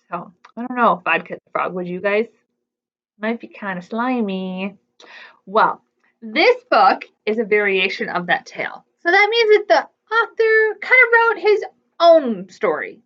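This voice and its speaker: A woman's voice: on the high side, fairly bright tone, dull audio. A woman hosting a children's storytime, reading aloud expressively and chattily putting questions to young listeners. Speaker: children's library storytime host.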